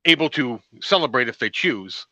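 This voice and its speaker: A man's voice: medium pitch, sing-song, moderate pace, bright, forceful tone, no room sound, dull audio. A man co-hosting a podcast, making dry wisecracks in casual chat. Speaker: podcast co-host.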